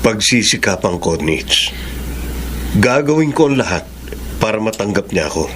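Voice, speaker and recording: An actor playing a devoted, determined lover with a Filipino accent in a radio drama, earnest and measured. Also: male voice, lowish voice, big pitch swings, fairly bright tone. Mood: earnest devotion. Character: devoted male lover determined to win approval. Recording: noisy radio drama recording.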